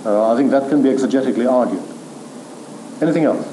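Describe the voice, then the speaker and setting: A man lecturing on theology to a room, measured and firm, answering questions from the floor. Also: medium pitch, warm tone. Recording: echoey room recording with faint hiss.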